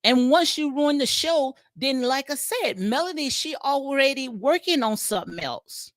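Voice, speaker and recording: A woman's voice: high-pitched, lively intonation, normal speed, no echo, clean studio sound. A woman with a Southern US accent giving rambling casual commentary on a reality show during a livestream, full of fillers, restarts and repeated phrases. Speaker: commentary vlogger.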